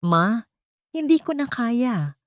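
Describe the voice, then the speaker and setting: A woman's voice: a little high, very animated, slow pace, no room sound, clean studio sound, pitched above the performer's own voice. A frightened, guilt-ridden man , acted by a woman narrator speaks with a Filipino accent. He sounds anguished and weak-willed, in a dryly recorded story melodrama. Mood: afraid, desperate. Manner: anguished, fearful.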